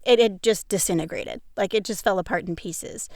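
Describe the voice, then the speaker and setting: A woman's voice: medium pitch, moderate pace, a little mellow, no echo. A woman voice actor from the Pacific Northwest, chatting casually in an enthusiastic, self-deprecating way on a close mic. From Pacific Northwest, United States. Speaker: voice actor guest. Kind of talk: casual chat. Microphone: close studio mic on a video call.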